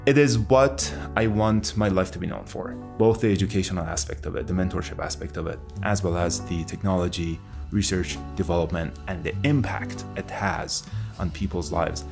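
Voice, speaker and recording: A man's voice: low voice, moderate pace, vocal fry, over music. A man who works as a researcher and mentor, talking reflectively in an interview.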